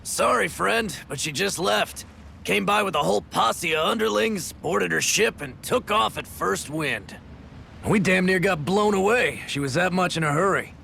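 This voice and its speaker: A man's voice: high voice, expressive, moderate pace, gravelly, pushed and bright, full character voice. A man playing a hearty ship captain in a video game, with folksy sailor talk. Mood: easygoing. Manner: folksy, colloquial nautical slang. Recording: noisy, ambient background sound.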